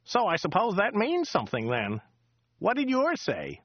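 A man voicing a chatty, excitable driver who rambles, repeats himself and laughs at his own jokes. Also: normal speed, dull audio, exaggerated voice. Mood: rambling chatter. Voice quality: high, loud and excitable. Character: chatty loud male American taxi driver. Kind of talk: audiobook character voice.

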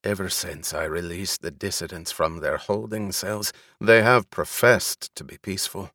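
Gruff, loyal male retainer, acted by a male narrator, formal, urgent, worried, deferential; audiobook drama, close dry sound. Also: low-pitched, moderate pace, pushed and bright, no room sound, full character voice.

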